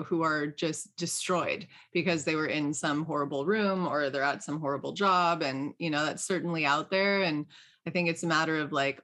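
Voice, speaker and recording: A woman's voice: sits low, a bit bright. A woman hosting a casual podcast interview in an effusive, encouraging, conversational way. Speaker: podcast host.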